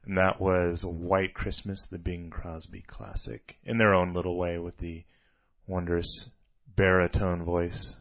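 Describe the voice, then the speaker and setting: A man with a deep voice hosts a casual radio music show from a studio, wandering through band history at an easy ramble. His voice sits very low, and the dull, lo-fi recording takes the shine off it. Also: flat delivery, a little slow. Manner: rambling, chatty about music trivia. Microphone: studio microphone.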